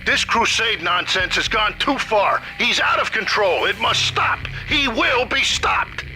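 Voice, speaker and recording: A man playing a police deputy chief speaking forcefully and emphatically in a TV clip. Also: high voice, animated, a little slow, soft background music, heavily acted. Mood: stern.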